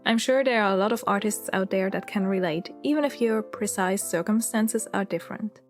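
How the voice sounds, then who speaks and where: A woman's voice: medium pitch, brisk, faint music behind. A woman in her thirties with a Swiss German accent, narrating her story in a candid, chatty, reflective voice-over. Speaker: illustrator and channel host.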